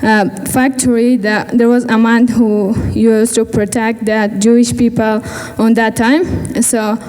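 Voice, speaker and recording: A teenage girl speaks in a fairly high, mellow voice, halting as she searches for English words. Her speech rings out with a long reverb from a large hall. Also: flat delivery. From Afghanistan. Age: teens. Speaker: student speaker. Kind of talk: personal speech at a gala.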